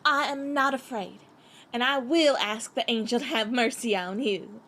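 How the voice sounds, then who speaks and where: A woman's voice: on the high side, moderate pace, a bit bright. A woman playing a sweet, brave young girl in a radio play, speaking with earnest innocence. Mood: resolute.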